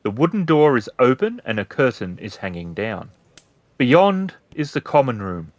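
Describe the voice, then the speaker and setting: A man's voice: mid-range voice, big pitch swings, normal speed, no echo. A man narrating scene descriptions as the game master of a tabletop game.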